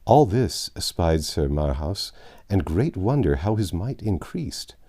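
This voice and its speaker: A man narrating a classic novel aloud, expressive with wry asides and occasional self-corrections. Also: deep voice, unhurried.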